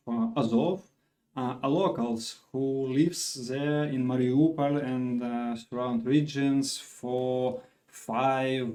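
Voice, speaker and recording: A man from Donetsk whose first language is Russian, speaking English haltingly with many fillers as an interview guest in an apartment. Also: medium pitch, mellow, poor audio. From Donetsk, Ukraine. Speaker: engineer guest. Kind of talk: casual interview talk.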